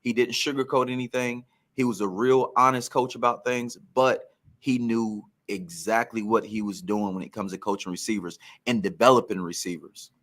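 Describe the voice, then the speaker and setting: A man's voice: lowish voice, fairly even intonation, moderate pace, fairly bright tone. Former football player, reflective man telling stories. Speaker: former pro football wide receiver telling career stories. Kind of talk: reflective podcast storytelling.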